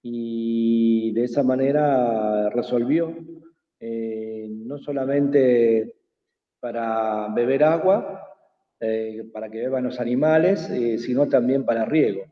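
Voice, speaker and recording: Quechua-native man, unhurried, halting; tinny call audio with a long reverb tail. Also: medium pitch. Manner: hesitant, many pauses and fillers. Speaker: invited speaker. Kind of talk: slow reflective talk in an online workshop. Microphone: video call.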